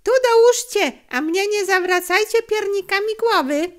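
A woman voicing a cheeky, sharp-witted young boy in an audiobook story, talking boldly and brashly. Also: very high voice, normal speed, voice pushed up. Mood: bold, demanding. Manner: bold, brash.

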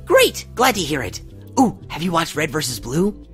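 A man's voice: on the high side, sing-song, normal speed, a bit bright. An actor playing an earnest, geeky young male huntsman student in an audio drama. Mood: geeky enthusiasm. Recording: music under the voice.

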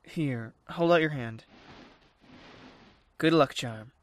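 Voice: mid-range voice, takes it slowly, close to an everyday voice. A performer playing a defiant, wounded teenage boy in an earnest, insistent zombie apocalypse audio drama. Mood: gentle, fond. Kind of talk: dramatic audio acting for visual-novel fan series.

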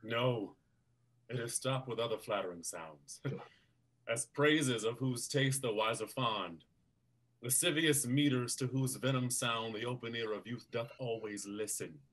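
A loyal duke who counsels caution in even, measured verse. His voice sits at a middle pitch for a man, and he speaks over a video call. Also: poor audio. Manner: measured, advising. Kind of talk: Shakespeare staged reading.

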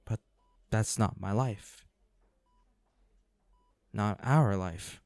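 Slow, low-voiced protective hunter boyfriend, acted by a man. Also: creaky, darkish tone, no echo, heavily acted. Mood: tender, weary. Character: protective young male ex-hunter, devoted boyfriend of a werewolf. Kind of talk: dramatic roleplay audio acting.

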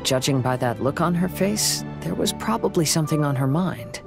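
A man playing a calm, thoughtful young swordsman in an anime video game, soft-spoken and measured. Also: on the high side, vocal fry, over music. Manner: measured, reflective.

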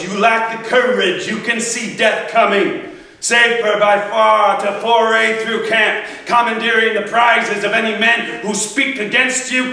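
Guy doing angry greek warrior hero, high forceful declaiming, defiant, theatrical epic, big echo. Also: exaggerated voice. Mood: scornful. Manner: declamatory, shouted oaths. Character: furious proud young Greek warrior, defiant and wronged. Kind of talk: theatrical live epic storytelling. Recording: echoey hall, distant from microphone.

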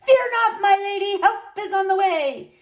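A woman's voice: high voice, lively intonation, moderate pace, pitched above the performer's own voice. A woman voicing a pompous, blustering knight uncle from a children's storybook. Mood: bold, loud. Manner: blustering, boastful, exclamatory.

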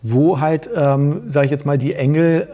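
A middle-aged man explaining things in casual conversation, somewhat haltingly. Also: fairly high pitch, mellow. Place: indoor studio set at a table.

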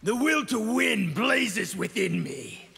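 Gravelly, hot-blooded game warrior. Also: male voice, high-pitched, expressive, takes it slowly, bright, forceful tone, big-room sound, heavily acted. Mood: defiant. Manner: combative, proud. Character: hot-blooded, defiant young male warrior soldier. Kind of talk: video game character acting.

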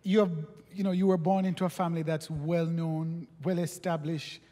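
A man with a high voice asks questions into a handheld mic on a large stage, and the sound carries a long auditorium reverb. He keeps the exchange playful and laughs often. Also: level tone, moderate pace, dark tone. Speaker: interviewer. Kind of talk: onstage interview.

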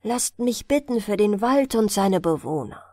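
A woman's voice: mid-range voice, animated, unhurried, a little mellow, dry recording, studio quality. A woman voicing a humble young male physician in a storybook, speaking in an earnest, pleading way.

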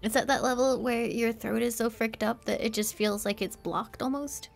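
A young woman streamer who is sick and hoarse from a sore throat, chatting casually and playfully while playing a game. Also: fairly high pitch, fairly even intonation, normal speed, faint music behind. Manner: playful, laughs often.

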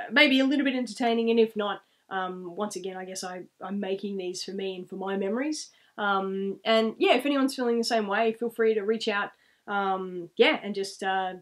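A woman in her thirties with an Australian accent, telling stories casually to camera in a rambling, reflective way. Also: medium pitch. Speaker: vlogger. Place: small room at home, indoors, seated.

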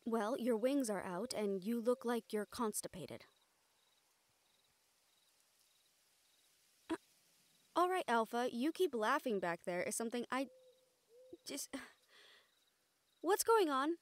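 A woman's voice: a little high, lively intonation, measured pace, pauses often, no room sound. A woman playing an exasperated but caring siren in an audio roleplay, earnest and sighing, with Spanish endearments. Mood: puzzled, patient. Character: female siren-banshee, exasperated but caring partner and team mate.

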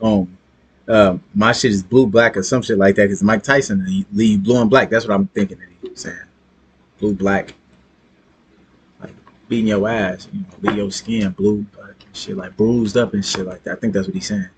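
A man's voice: fairly low pitch, steady tone, normal speed, a little hiss or hum. Slangy, swearing man reacting to music. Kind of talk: casual reaction commentary. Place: small streaming room indoors.

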